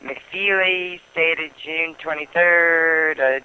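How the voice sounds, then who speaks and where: A man on a phone call, answering in a plain, deliberate, unruffled manner. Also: a little high, steady tone, sharp tone, clear, resonant tone. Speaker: call taker, prank call target. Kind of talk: phone conversation.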